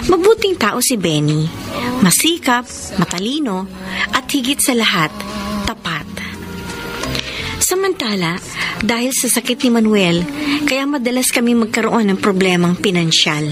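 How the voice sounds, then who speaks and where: Hardworking, worn-out Filipino wife for radio drama, grateful temper, higher voice, music bed. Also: female voice, big pitch swings, measured pace, pauses often. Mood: wistful narrating. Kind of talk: Filipino radio drama acting and first-person narration.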